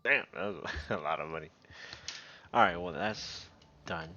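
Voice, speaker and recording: A man's voice: deep voice, unhurried, sharp tone, dull audio, a little hiss or hum. A young man streaming a game live, chatting casually and muttering his reactions to himself.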